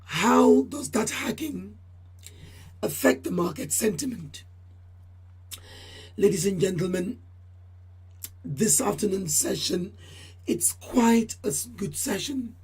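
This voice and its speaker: Female channel host, very husky and mellow, voice deepened by a recent cold, formal and repetitive delivery. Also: animated, slow pace, long pauses. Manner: formal, repeats phrases, addresses viewers as ladies and gentlemen. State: voice deeper than usual from a cold. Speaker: channel host giving crypto price updates. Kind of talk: solo market update talk.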